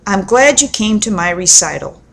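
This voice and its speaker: A woman's voice: medium pitch, big pitch swings, moderate pace, gravelly, a bit bright, heavily acted. A woman voicing a candid, slightly proud young boy in a children's storybook, with plain earnest delivery. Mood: earnest, admitting.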